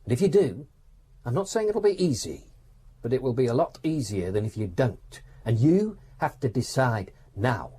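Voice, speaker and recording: A man playing a coldly reasonable, patronising prison official in a radio drama, probing and advising with measured authority. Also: medium pitch, big pitch swings, dark tone, breathy edge. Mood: stern, advising.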